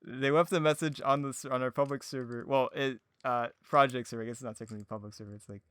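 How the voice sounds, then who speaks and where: Casting: male podcast guest, clean smooth voice, mid pitch, halting casual storyteller who giggles easily, dry close sound. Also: fairly even intonation, fairly bright tone, dry recording. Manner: hesitant, giggly, frequent laughter while talking. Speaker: podcast guest, audio drama producer. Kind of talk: casual anecdotal chat.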